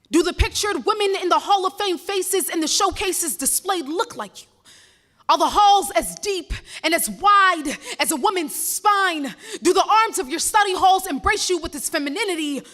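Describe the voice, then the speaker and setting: Young woman from ghana on stage, spoken word poet, high voice, builds rhythm, repeats words for punch. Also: expressive, moderate pace, a bit bright. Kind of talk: live spoken word poetry performance. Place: stage at a live campus event.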